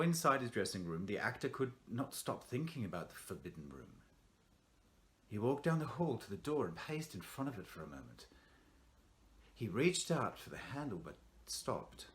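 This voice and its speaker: Low-voiced man telling a dark story. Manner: suspenseful, theatrical delivery with dramatic pauses. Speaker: actor reading a short story. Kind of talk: dramatic scripted horror storytelling.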